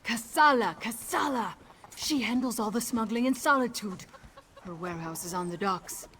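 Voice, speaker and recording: A gruff female tavern gambler in a fantasy game. Her slightly high voice is curt and irritable, over faint background noise. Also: expressive, normal speed, a bit bright. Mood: panicked. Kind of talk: video game character dialogue.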